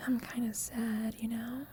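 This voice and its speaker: Actress for affectionate, teasing young woman roommate with a crush; soft whispery ASMR delivery, highish pitch, unhurried and gentle. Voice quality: soft, breathy, often whispered. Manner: playful, tender, slow and gentle. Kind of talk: ASMR roleplay, intimate sleep-aid audio.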